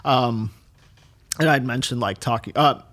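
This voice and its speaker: Chef guy, 30s, rambles, tons of likes and ums, gets animated. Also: medium pitch, measured pace. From Chicago, USA. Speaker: guest chef. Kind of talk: casual interview chat.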